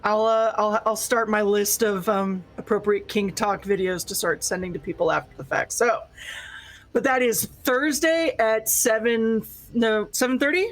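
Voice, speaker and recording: A woman's voice: medium pitch, normal speed. A woman co-hosting a tabletop gaming stream, chatting casually in a goofy, giggly, sweary way. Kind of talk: casual group chat.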